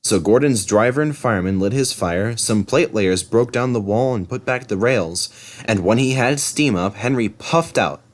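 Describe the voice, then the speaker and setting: A man's voice: fairly low pitch, moderate pace. A man narrating a children's story as a storybook reader.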